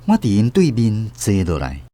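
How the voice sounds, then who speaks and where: A man's voice: lowish voice, huge pitch swings, normal speed, warm tone, dry recording. An actor playing an elderly grandfather with a Taiwanese accent, narrating a radio drama in a tender, wistful, literary way. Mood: tender, reflective. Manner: literary, emotive storytelling.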